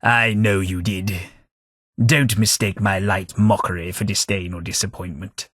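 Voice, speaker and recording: An actor playing an old, wry undead London gentleman in a game, speaking, formal politeness and dry wit, with a husky voice. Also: sits low, fairly even intonation, a little slow, dry recording. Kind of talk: game companion voice lines. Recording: dry close studio recording.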